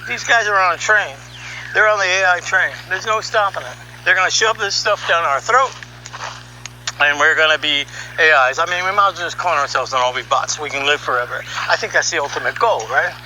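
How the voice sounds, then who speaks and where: A man giving an opinionated, conversational monologue as a podcast host, sardonic and animated, throwing out rhetorical questions. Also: fairly high pitch, brisk, slight background noise, poor audio. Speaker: podcast host and audio artist. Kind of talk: opinionated monologue, reading article excerpts aloud.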